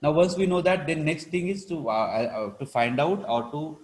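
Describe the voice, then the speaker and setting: A man with an Indian accent teaching a live online engineering class, explaining step by step in a halting, repetitive way with frequent check-in words. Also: fairly high pitch. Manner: halting, repetitive, punctuated with check-in words like "right" and "you know". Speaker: engineering lecturer.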